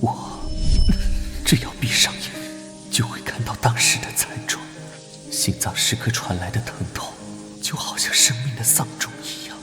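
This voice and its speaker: A man playing a gentle, burdened young knight vice-captain in an animated drama, speaking in a soft, subdued way. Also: mid-range voice, moderate pace, natural voice. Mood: grieving, subdued. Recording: loud music under the voice.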